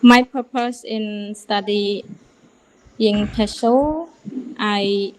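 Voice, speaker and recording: A woman, a teacher studying in an online class, answering hesitantly with many pauses over a video call. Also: medium pitch, low-quality recording. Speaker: student teacher.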